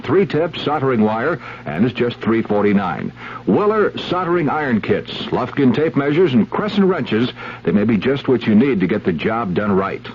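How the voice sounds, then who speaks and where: A man announcing a 1970s radio advertisement in a polished sales pitch. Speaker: commercial announcer. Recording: dull, noisy 1970s radio broadcast.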